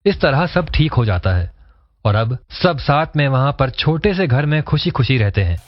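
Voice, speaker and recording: A man's voice: mid-range voice, big pitch swings, moderate pace, dry recording, muffled recording, soft background music. A man narrating a scripted cartoon story as its storyteller.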